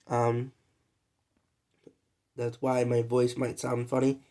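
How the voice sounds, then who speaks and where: A man's voice: medium pitch, monotone, takes it slowly, dark tone. A young man who is sick and coughs now and then, talking in a loose, rambling, slangy vlog monologue full of fillers. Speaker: vlogger.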